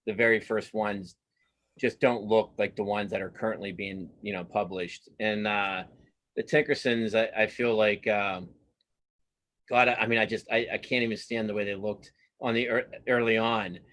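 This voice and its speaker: A man giving a casual interview as a cartoonist guest, speaking haltingly and modestly, often repeating words as he thinks. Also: on the low side, steady tone, a bit bright.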